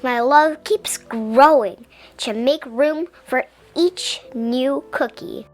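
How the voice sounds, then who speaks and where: A woman reading a children's picture book aloud in a gentle, deliberate, expressive way. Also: sits high, faint music behind. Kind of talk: children's storybook read-aloud.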